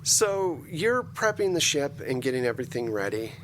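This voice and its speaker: A man narrating an improvised tabletop game as game master in a casual, halting way. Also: medium pitch, big pitch swings, a bit bright, a little hiss or hum. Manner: casual, halting descriptions full of "like".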